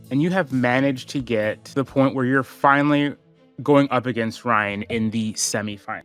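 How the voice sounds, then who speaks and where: A man's voice: medium pitch, fairly bright tone. A man running a tabletop game as game master, improvising casual narration and rephrasing hesitantly as he goes.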